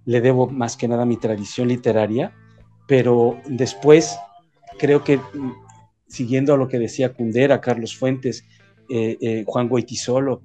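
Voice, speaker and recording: A man with a Mexican accent talks through his ideas as an interviewed writer, pausing and restarting as he thinks. His voice is warm and dark in tone, at an ordinary middle pitch. Faint music sits low behind him. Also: steady tone, lo-fi sound. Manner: reflective, halting, with frequent restarts. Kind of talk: conversational interview.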